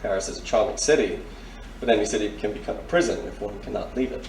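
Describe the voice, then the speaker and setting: Actor as a formal, earnest army major. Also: male voice, fairly low pitch, fast, darkish tone, close to an everyday voice. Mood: polite, probing. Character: courtly, earnest military major. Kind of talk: period noir radio drama acting. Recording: roomy, distant, faint background noise.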